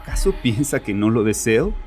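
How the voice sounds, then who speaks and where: A man's voice: medium pitch, very animated, moderate pace, close to an everyday voice. A man playing a guilt-ridden, haunted father confessing to his therapist in an audio horror drama. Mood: bitter, defensive. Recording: music behind the voice.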